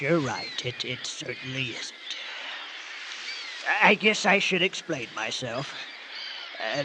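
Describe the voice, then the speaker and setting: A man's voice: on the high side, background noise. A man acting a folksy, hesitant Southern character in an old-time radio comedy, speaking with a stammer. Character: folksy, friendly rural Southern trucker man, easygoing know-it-all; also lonely, bashful old garbage-boat captain.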